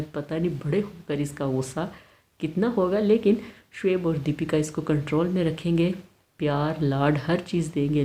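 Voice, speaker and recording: A woman narrating a recap of a celebrity family's vlogs, in a steady storytelling way with light commentary of her own. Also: deep voice. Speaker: celebrity news vlogger.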